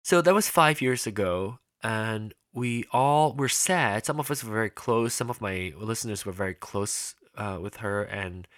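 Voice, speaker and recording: Podcast host, man, bright forward tone, dry close mic, chatty and rambling. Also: lowish voice, measured pace, hardly any pauses, no room sound. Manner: rambling, many restarts and fillers. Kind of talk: casual solo podcast talk.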